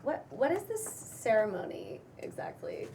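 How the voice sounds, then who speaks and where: A woman's voice: a little high, very animated, measured pace, a little mellow, recorded from a distance, a little hiss or hum. A woman at a table read playing a skeptical newcomer who is weirded out by the household. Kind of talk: table read acting of a pilot script.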